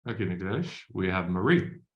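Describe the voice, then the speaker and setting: A man with a fairly low voice moderates an online Q&A on a video call. He hesitates often and fills pauses. The room around him is echoey. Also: sing-song, lo-fi sound. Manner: hesitant, many fillers. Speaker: webinar moderator. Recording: roomy echo.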